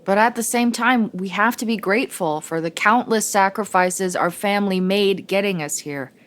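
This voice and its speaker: A woman's voice: medium pitch, moderate pace, lightly acted. A woman in an audio play playing a pragmatic, conciliatory young sister with an American accent and an earnest, reassuring manner. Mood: placating.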